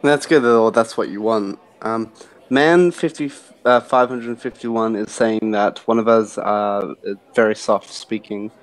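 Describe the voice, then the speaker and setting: A man with a fairly low voice chats casually on a live stream. His manner is easygoing and joking. He fills his pauses with "um"s as he talks. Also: steady tone, rough recording. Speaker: community team member.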